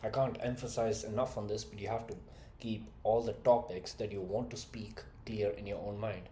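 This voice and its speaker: Blunt young coach from India with English as a later language; low-pitched, lectures to camera in an echoey room. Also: male voice, steady tone, normal speed, dark tone, slight background noise. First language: not English; English is his third language. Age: 20s. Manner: blunt, lecturing, rhetorical questions. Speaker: communication skills coach. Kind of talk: direct-to-camera motivational talk.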